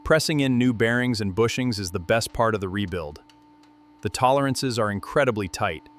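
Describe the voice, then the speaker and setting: A man's voice: lowish voice, animated, normal speed, slight background noise. A man giving scripted narration as the narrator of a restoration video.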